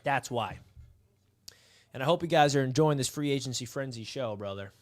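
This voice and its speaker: A man's voice: mid-range voice, normal speed. A man hosting a casual live sports stream, talking straight to his chat in a hyped, chatty way. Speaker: livestream host. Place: outdoors in the sun.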